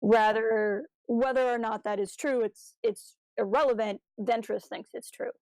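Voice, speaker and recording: A woman's voice: a little high, steady tone, measured pace, darkish tone, no room sound, low-quality recording. A woman co-hosting a podcast, chatting casually in an enthusiastic, analytical way about stories. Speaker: podcast co-host. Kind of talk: casual discussion of a fan audio drama.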